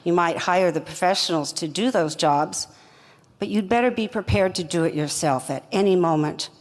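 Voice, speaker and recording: Older woman cofounder, kinda low voice, calm plain storytelling, lots of reverb. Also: normal speed. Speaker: company co-founder, guest. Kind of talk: conversational interview storytelling.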